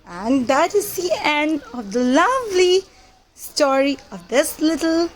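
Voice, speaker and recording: A woman reading a picture book aloud to young children, with simple deliberate phrasing and questions to the listeners. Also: high-pitched, animated. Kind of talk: children's read-aloud storytelling.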